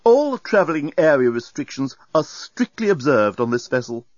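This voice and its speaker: A man's voice: a little high, big pitch swings, normal speed, darkish tone, dry recording, dull audio, pitched above the performer's own voice. Man playing a snooty, officious robot doorman. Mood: officious, cold. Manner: stiff, sniffy, coldly formal with hesitations. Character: officious, snobbish robot doorbot, like a haughty servant.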